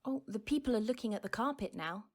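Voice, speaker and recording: An actress playing a patient, reassuring mother who gently explains things to her child in an audio drama. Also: a little high, lively intonation, fast talker. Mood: gentle.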